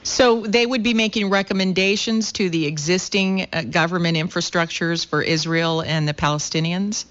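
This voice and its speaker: A woman's voice: on the low side, steady tone, moderate pace, fairly bright tone. Woman radio host pressing with questions. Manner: probing, presses with follow-up questions. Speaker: radio talk show host. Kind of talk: radio interview. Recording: slightly dull lo-fi radio sound.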